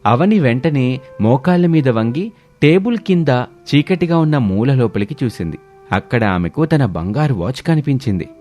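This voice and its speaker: A man's voice: medium pitch, lively intonation, moderate pace, mellow, soft background music. A man reading a scripted family story as a dramatic narrator.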